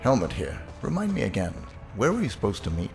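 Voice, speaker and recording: An actor playing a self-assured male fashion model, curt and businesslike, with a gravelly voice. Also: lowish voice, wildly swooping pitch, fast, a little mellow, faint music behind, full character voice. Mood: curt, composed.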